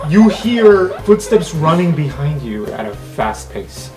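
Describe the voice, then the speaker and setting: A woman's voice: low-pitched, big pitch swings, takes it slowly, over music. A woman narrating an improvised tabletop roleplaying game as game master.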